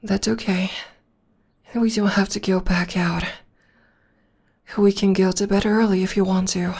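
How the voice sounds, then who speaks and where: A young woman voicing a tender, protective witch girlfriend in an ASMR roleplay, speaking softly and often whispering close to the microphone. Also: medium pitch, flat delivery, a little slow, gravelly. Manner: soft and soothing, often whispering. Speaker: voice actor.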